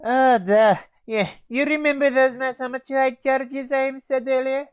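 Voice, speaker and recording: A man's voice: extremely high pitch, steady tone, measured pace, clear, resonant tone, dry recording, voice pushed up. A teenage boy voicing a Russian male soldier obsessed with explosive charges, teasing and calling everyone comrade. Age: teens. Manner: teasing, calls listener comrade.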